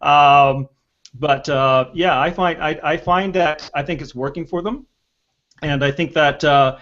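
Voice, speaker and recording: A man's voice: fairly high pitch, unhurried, a bit bright. A man hosting a casual online panel discussion, moderating and reading out comments over a video call. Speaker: host. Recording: dull lo-fi video call audio.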